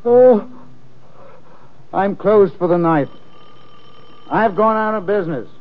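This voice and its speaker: An actor playing a suave, witty, flirtatious gentleman adventurer in a 1950s radio drama, urbane and wry. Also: high-pitched, takes it slowly. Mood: wryly charming. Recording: tinny, hissy old radio recording.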